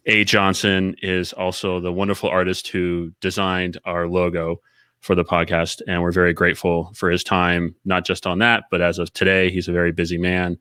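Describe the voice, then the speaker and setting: A man's voice: sits low, pushed and bright, clean tone, no room sound, studio quality. A man hosting a podcast, introducing his guest politely and formally. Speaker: podcast host.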